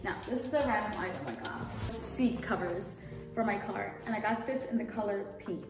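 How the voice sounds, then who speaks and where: A woman's voice: medium pitch, moderate pace. A young woman chatting casually and informally to camera as a lifestyle YouTuber, rambling a little through a product haul. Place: indoor room. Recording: roomy echo, distant mic, music behind.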